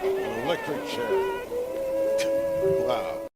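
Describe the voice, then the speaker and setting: A man's voice: medium pitch, huge pitch swings, takes it slowly. A man delivering a scripted horror show promo monologue like an eccentric, theatrical showman. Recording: echoing voice under loud music.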